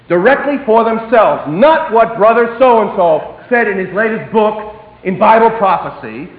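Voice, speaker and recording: Male preacher, high and very animated voice, emphatic with sudden hushed drops, echoey hall. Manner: emphatic, dramatic swings between loud and hushed delivery. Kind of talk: sermon. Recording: echoey hall, tinny phone-like sound.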